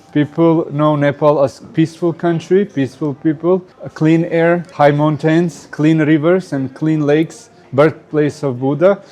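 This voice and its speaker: A man's voice: fairly high pitch, mellow. A man from Croatia speaking English with a Croatian accent as an interview guest, hesitant with many fillers. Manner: hesitant, many uh fillers, non-native phrasing. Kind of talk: casual interview answers.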